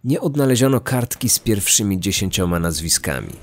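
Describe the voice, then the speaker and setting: A man narrating a scripted true-crime podcast in a measured, articulate manner. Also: on the low side, expressive, a little hiss or hum.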